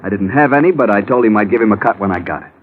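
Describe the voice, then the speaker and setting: An actor playing an outwardly polite, nervous schemer in an old radio crime drama, earnest and defensive. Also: male voice, fairly low pitch, lively intonation, racing, close to an everyday voice. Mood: anxious confession. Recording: tinny, hissy old radio recording.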